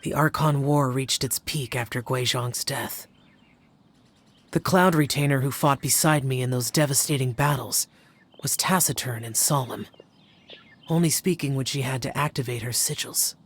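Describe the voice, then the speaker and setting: A man's voice: mid-range voice, moderate pace, fairly bright tone, slight background noise, natural voice. A man voicing a stern, reserved guardian spirit who speaks formally and measuredly. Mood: solemn. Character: aloof, stern young male guardian spirit.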